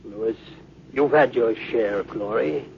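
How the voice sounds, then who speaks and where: A man's voice: medium pitch, a little slow, vocal fry. A man playing a wry, worried middle-aged ship's doctor in a vintage radio drama. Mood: gloomy, wry. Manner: wry, questioning. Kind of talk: 1950s radio drama acting. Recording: muffled, faintly noisy old radio recording.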